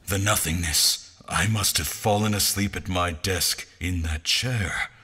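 A man acting a slow, low-voiced, haunted dreamer. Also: pushed and bright, heavily acted. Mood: bewildered, uneasy. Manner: halting, brooding, theatrical, often breathy near-whisper. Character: tormented man trapped in a dream realm, bewildered, dread-filled. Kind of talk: dramatic first-person audio drama narration.

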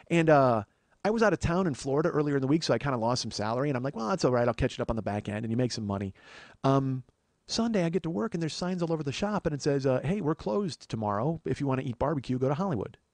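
Animated, profane male comedy podcaster. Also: a little high, rapid-fire, no echo. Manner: profane, digressive, self-interrupting, excitable. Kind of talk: rambling comic monologue, casual chat.

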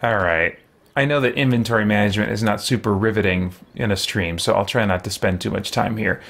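A man in his thirties giving casual, unhurried game commentary, musing aloud and often hesitating. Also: lowish voice, gravelly, fairly bright tone. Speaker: streamer playing a role-playing game. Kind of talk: casual let's play commentary. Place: small indoor room with shelves, at a desk.

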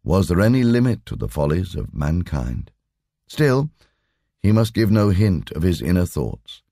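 Deep-voiced man narrating a story. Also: very animated, measured pace, gravelly, warm tone, no echo, clean studio sound. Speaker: audiobook narrator. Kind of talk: scripted short story narration.

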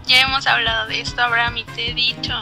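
A woman with a Mexican accent talks in a blunt, informal phone-chat style. Her voice sits on the high side with plenty of creak. Music is clearly audible behind the call. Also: little pitch movement, fast. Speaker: friend receiving a phone call.

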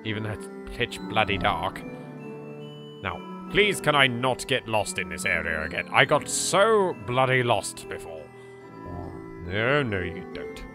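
A man with an English accent giving jokey, rambling, improvised let's play commentary. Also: medium pitch. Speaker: gaming host.

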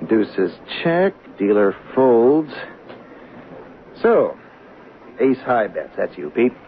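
A man's voice: mid-range voice, sing-song, very slow, exaggerated voice. Male skeptical, reluctant card player, vintage radio drama; mid-range voice, narrow-band broadcast with faint hiss. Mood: businesslike. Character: reluctant, skeptical male commuter losing at poker. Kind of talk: 1970s radio drama acting.

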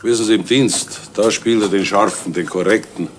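An actor playing a chatty, gossiping police informant, in a 1970s radio crime play. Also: male voice, fairly low pitch, steady tone, normal speed, a little hiss or hum, close to an everyday voice. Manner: gossipy, confiding.

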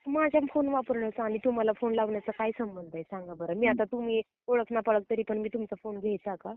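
A woman's voice: on the high side, fast, no echo. A woman with a Maharashtrian Marathi accent, scolding emphatically and indignantly in a heated phone argument. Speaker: prank call recipient. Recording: narrow tinny phone-call recording.

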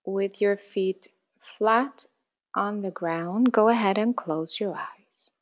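A woman guides a meditation at an extremely unhurried pace. Her delivery is calm and measured, in a mid-range voice, with phrases that settle softly at the end. The recording sounds a little muffled. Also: mid-range voice. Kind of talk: guided meditation, slow spoken instructions with long pauses.

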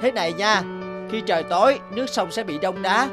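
A man's voice: high-pitched, brisk, music in the background, lightly acted. A man voicing a good-natured, earnest otter in a children's cartoon. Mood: scheming. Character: honest, good-natured otter, later quietly vengeful.

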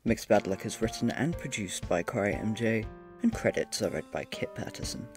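Casting: male credits reader for a podcast, slightly low pitch, over plucked music. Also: expressive, normal speed. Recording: plucked guitar music under voice.

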